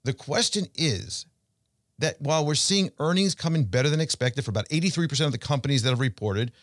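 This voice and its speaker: A man hosting a financial talk show, speaking conversationally and emphatically to listeners, with rhetorical questions and deliberate pauses. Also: on the high side, expressive, dry recording, clean studio sound. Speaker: financial show host and portfolio manager. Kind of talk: conversational investment commentary addressed to listeners.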